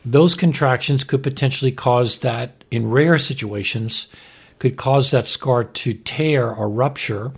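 A man with a creaky, rough-edged voice talks like a doctor co-hosting a show. He explains medical points conversationally, in plain terms, and often frames them with questions. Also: mid-range voice, unhurried. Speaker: doctor explaining a TV medical drama as podcast co-host.

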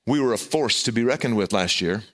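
A middle-aged man with a loud voice, preaching a sermon and telling a self-deprecating, humorous personal story. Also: lowish voice, lively intonation, fairly quick. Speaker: pastor.

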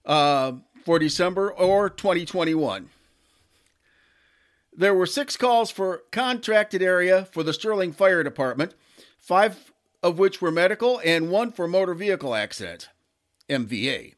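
A man hosting a local podcast, reading scripted notes aloud in a plain, deliberate way. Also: sits high. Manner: plain, deliberate read-aloud delivery with little ad-libbing. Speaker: local community podcast host.

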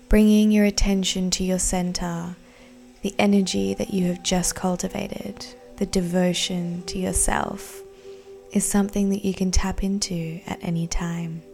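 A woman guiding a meditation in a soothing, deliberate, breathy voice that often nears a whisper. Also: on the low side. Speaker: meditation guide. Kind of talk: guided meditation narration. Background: soft background music.

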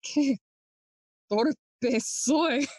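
A woman playing a bitter, grieving young prince in a bilingual classical audio play, speaking theatrical, deliberate verse. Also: sits high, mellow, dry recording, clean studio sound. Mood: anguished self-reproach. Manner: theatrical, deliberate, switching between English and Spanish.

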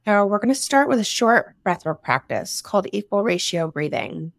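A woman leading a guided meditation, giving soothing instructions in short phrases with long pauses between them. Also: medium pitch, animated, vocal fry, dry recording, studio quality. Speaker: meditation guide, breathwork teacher.

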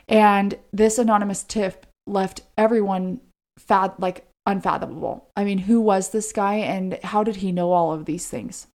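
A woman's voice: medium pitch, darkish tone. A woman co-hosting a podcast, narrating true crime in an informal way with chatty asides. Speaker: podcast co-host.

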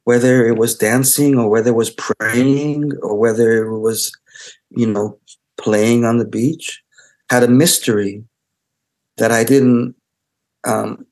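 A middle-aged man born in Israel and raised on Hebrew, giving a thoughtful interview about his life as a photographer. He gropes for words, restarts often and circles back on his ideas. His voice sits at an ordinary male pitch. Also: steady tone, a little mellow. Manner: halting, searching for words, many restarts. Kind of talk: interview, reflective talk.

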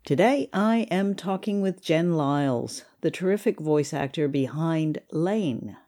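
A woman's voice: sits low, lively intonation, a little slow, warm tone. A woman hosting a podcast interview in a casual, effusive and encouraging way.

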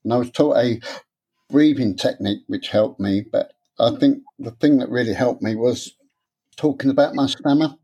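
A man, talking candidly in an interview, halting and repeating words as he speaks. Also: medium pitch, dark tone, breathy edge. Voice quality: stammer. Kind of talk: personal interview.

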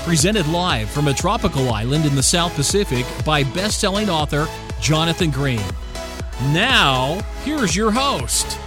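A man reading a scripted show intro with an energetic, salesy announcer delivery. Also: on the high side, unhurried. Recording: voice over loud music bed.

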